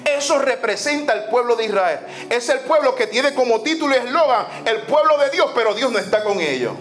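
A high-pitched man preaching, pressing his points by repeating phrases. He slips English "so" into his sentences. Soft music plays faintly behind him in the church. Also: animated, a little fast. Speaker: pastor. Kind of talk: sermon. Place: church sanctuary.

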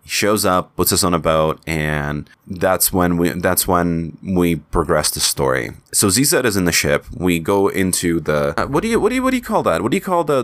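Deep-voiced man. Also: fairly bright tone. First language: Czech. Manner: rambling, searches for words, frequent restarts. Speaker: video game commentator. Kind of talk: casual game playthrough recap.

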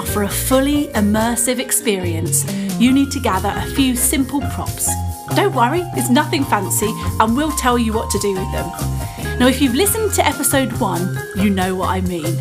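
A woman's voice: fairly high pitch, lively intonation, moderate pace. A woman hosting a scripted introduction to a family audio play, giving instructions in a reassuring way. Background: loud music.

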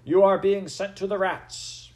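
A man's voice: lowish voice, normal speed, darkish tone, natural voice. A man voicing a stern, pompous head mouse who declaims imperiously in a storybook reading. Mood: stern, outraged.

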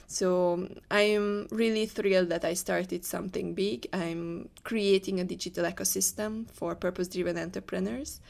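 A woman's voice: lowish voice, fairly even intonation, moderate pace, creaky, few pauses, a little mellow. A woman from Hungary with a Hungarian accent, answering conversationally as an interview guest. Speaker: interview guest, leadership coach.

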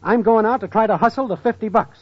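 An actor playing a scheming, jobless city hustler in an old radio comedy, with a slangy, wisecracking delivery. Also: male voice, high voice, fast talker, lightly acted. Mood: scheming, breezy. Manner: slangy, wisecracking wise guy. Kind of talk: 1940s-50s radio comedy acting. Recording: muffled, noisy old radio recording.

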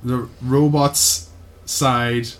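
A man's voice: medium pitch, little pitch movement, very slow, slight background noise. A man co-hosting a podcast, casually recapping an episode in a mocking, sweary way and often checking in with "right?".

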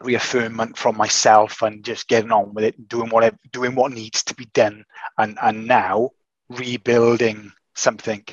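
A man with a croaky, raspy voice after illness, co-hosting a casual chat and often repeating words while he thinks. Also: medium pitch, level tone, normal speed, dry recording, muffled recording. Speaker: podcast co-host.

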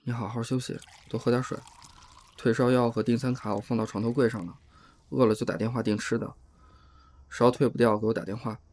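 An actor playing a considerate, slightly awkward young working man in an audio drama, with gentle, hesitant, natural delivery. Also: mid-range voice, steady tone, a little fast, lightly acted. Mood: concerned. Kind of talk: Chinese audio drama acting.

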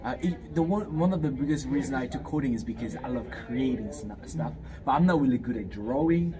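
A man's voice: medium pitch, expressive, brisk, crowd noise. A man in his twenties from Fiji, answering interview questions in a hesitant way with many fillers and restarts. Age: 20s. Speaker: guest, student. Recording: distant mic, clear background noise.

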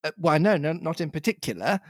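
A woman reading aloud in a plain, measured voice as a cautious young boy. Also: deep voice, expressive, breathy edge, no echo, studio quality. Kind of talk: storybook read-aloud.

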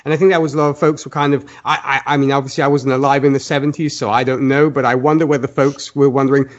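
A man's voice: medium pitch, level tone, quick pace, highs cut off. A man hosting a casual film discussion podcast, talking in a rambling, hedging way and often restarting his sentences. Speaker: podcast host.

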